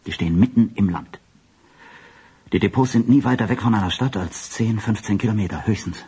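A man playing a grave, alarmed doctor in a radio drama, speaking in a halting, stunned way. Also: lowish voice, mellow, husky. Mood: grim. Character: alarmed, grave male doctor grasping a catastrophe.

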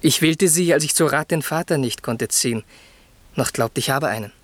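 A lovestruck young prince played by a man with a highish voice. He speaks lyrical verse earnestly and reverently in classic radio drama style, the sound dry and close. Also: normal speed, no room sound, lightly acted. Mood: tender, reverent. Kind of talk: classic German radio play acting, verse.

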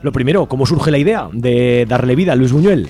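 A man's voice: mid-range voice, a little fast, dark tone. A man with an accent from Spain hosting a live community radio interview. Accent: Spanish from Spain. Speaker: radio show host. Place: radio studio.

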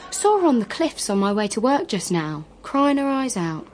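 A woman's voice: a little high, sing-song, normal speed, a little mellow, a little hiss or hum. An actress playing a blunt, gossipy working-class woman in a radio drama, chatty and scornful.

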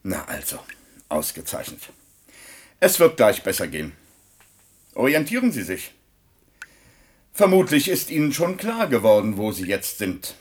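An actor playing a callous, impatient scientist doctor in a science-fiction radio play, clinical and brusque in manner. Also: male voice, on the low side, wildly swooping pitch, measured pace, pauses often, slightly rough, heavily acted. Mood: cool, dismissive. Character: callous, impatient male scientist doctor, coolly self-justifying. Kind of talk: German science-fiction radio drama acting.

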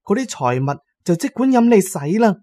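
Man voicing a high-pitched, aggrieved female ghost. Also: sing-song, a little fast, dark tone, no room sound. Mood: luring. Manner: reproachful, persuasive. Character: female ghost in a paper funeral doll, aggrieved, coaxing, proud. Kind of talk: audio drama horror storytelling.

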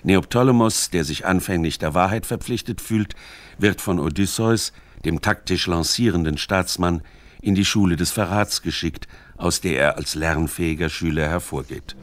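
A man with a low voice narrates a literary radio essay. His delivery is measured and formal, and the sound is close and dry, with no room around it. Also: dry recording.

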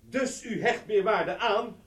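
Distant, high-voiced male interrogator. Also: slow, fairly bright tone. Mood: probing. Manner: formal, insistent, precise. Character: formal probing male inquiry commission questioner. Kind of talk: radio drama acting. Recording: roomy, distant from microphone.

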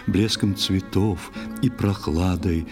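A man narrating a poetic old tale for a children's audio play in a measured, expressive way, with drawn-out phrases. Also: deep voice. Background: music.

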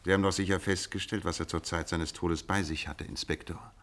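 Shrewd gentleman detective, German radio drama. Low voice, courteous, thoughtful, quietly probing. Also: steady tone, a little fast. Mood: polite, pensive. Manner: pensive, probing, polite, murmured acknowledgements. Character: shrewd, courteous, pensive private detective man. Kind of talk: German radio play dialogue.

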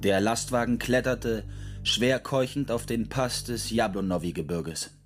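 An actor playing the narrator of a prison-camp story in a scripted literary radio play. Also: male voice, lowish voice, unhurried, over loud music, natural voice. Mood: narrating.

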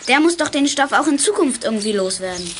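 A woman playing a plucky, determined youngster from a detective gang in a radio play, forceful and cheeky. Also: high-pitched, animated, fast, slight background noise. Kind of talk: 1980s German children's radio play acting.

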